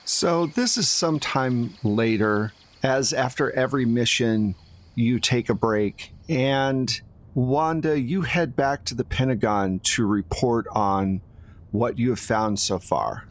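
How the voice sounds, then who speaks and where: A man's voice: medium pitch, slow. A man acting as game master, improvising tabletop game narration in a conversational way.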